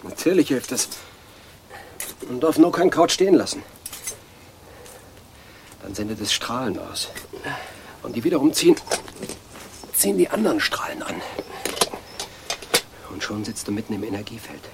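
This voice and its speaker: Ein Schauspieler als wahnhafter Anstaltspatient in einem Hörspiel, der eindringlich und verschwörerisch von seinen Ängsten redet. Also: male voice, medium pitch, big pitch swings, moderate pace, gravelly, long pauses, background noise. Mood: obsessive, earnest. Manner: urgent, conspiratorial explaining. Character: delusional asylum patient, obsessively weeding, fearful of women. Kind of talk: German radio drama acting.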